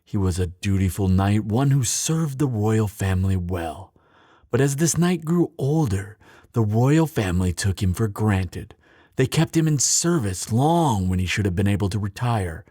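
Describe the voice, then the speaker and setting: A bitter, mocking male thief played by a man speaks in a close, dry recording. His delivery swings widely in pitch, sardonic and theatrical. It is a fantasy roleplay spoken straight to the listener, hardened by grief. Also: animated, mellow, no room sound. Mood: bitter, mocking storytelling. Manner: sardonic, theatrical, deliberate pauses. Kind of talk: dramatic fantasy audio roleplay, addressed to listener.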